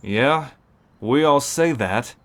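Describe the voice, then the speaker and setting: A man playing a seasoned, calm werewolf who explains pack ways matter-of-factly in an ASMR roleplay. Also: mid-range voice, slow. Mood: grave, explaining.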